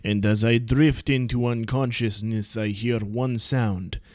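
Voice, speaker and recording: A young man streaming, reading visual novel narration aloud in a laid-back, deadpan way. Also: mid-range voice, a little slow, noisy background.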